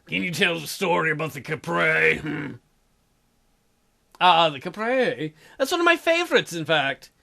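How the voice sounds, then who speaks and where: A man's voice: a little high, measured pace, vocal fry. A man hosting a let's play, reading a dating sim's dialogue aloud and cracking crude jokes between the lines. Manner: animated, jokey, reads character lines in shifting voices. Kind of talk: reading a dating sim aloud with joking commentary.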